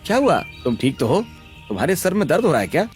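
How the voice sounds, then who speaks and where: A man playing a young tea-stall keeper in a cartoon story. He is kind, easygoing and gently teasing, with a lively, moving pitch over faint music. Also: fast. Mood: kind, concerned. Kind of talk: Hindi cartoon story acting.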